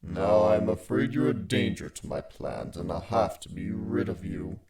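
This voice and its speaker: A man voicing a giant, coolly gloating male spider villain with a gravelly voice and slow, theatrical menace in a dramatic story reading. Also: lowish voice, animated, over a noisy crowd. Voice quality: gravelly, creaky.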